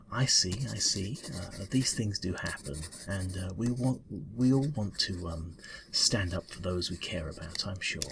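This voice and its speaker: A man's voice: deep voice, vocal fry, pushed and bright, slight background noise, full character voice. A man voicing a polite, fussy, slightly ruffled bureaucrat cat in an ASMR interview roleplay, mumbling hesitantly and formally.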